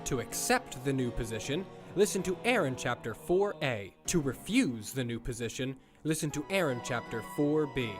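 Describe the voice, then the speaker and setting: A man narrating from a script as the announcer of an audio drama, reading out instructions and credits. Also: mid-range voice. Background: music.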